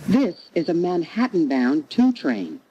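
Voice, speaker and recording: A woman reading scripted transit announcements as a train announcer, in measured, separate phrases. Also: on the low side, big pitch swings, warm tone, muffled recording. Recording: recorded train announcement with clear noise.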